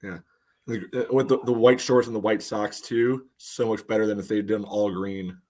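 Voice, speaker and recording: A man's voice: fairly low pitch, fairly even intonation, moderate pace, warm tone. A man co-hosting a soccer fan podcast, chatting casually and steering the conversation. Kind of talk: casual chat, moderating.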